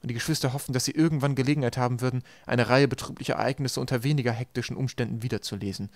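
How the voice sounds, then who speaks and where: Quick-paced male audiobook narrator, close and dry. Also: mid-range voice, steady tone, no room sound.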